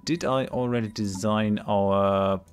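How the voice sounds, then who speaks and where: Low-voiced man with a cold. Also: steady tone, takes it slowly, smooth. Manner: thinks aloud with frequent ums and restarts; laughs readily between remarks. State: has a cold. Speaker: let's play host. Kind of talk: casual gameplay commentary.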